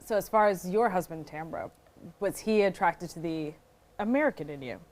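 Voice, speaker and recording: A woman's voice: fairly low pitch, moderate pace, darkish tone, a little hiss or hum. A woman hosting a panel talk show in an upbeat, teasing way, introducing guests and setting up segments.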